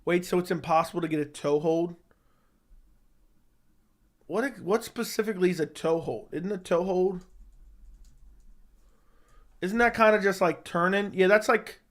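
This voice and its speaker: Slightly hoarse young man livestreaming. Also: on the high side. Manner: opinionated, talks straight to chat. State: slightly hoarse, losing his voice. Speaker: livestream host answering chat. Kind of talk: casual live chat.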